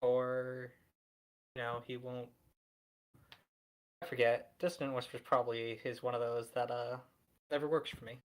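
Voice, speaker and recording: A man's voice: medium pitch, level tone, normal speed, long pauses, clear, resonant tone, rough recording. A man playing a tabletop roleplaying game, casually thinking aloud through a turn.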